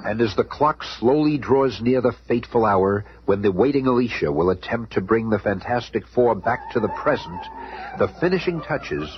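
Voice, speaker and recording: A man's voice: medium pitch, very animated, normal speed, natural voice. A man narrating a superhero radio serial in a dramatic, grandiose, suspenseful scripted style. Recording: dull old radio, clear noise behind.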